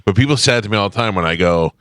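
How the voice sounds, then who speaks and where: A man's voice: lowish voice, animated, racing, a bit bright, no echo. A man hosting a morning radio show, chatting casually and telling joking stories into a studio microphone. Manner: joking, storytelling.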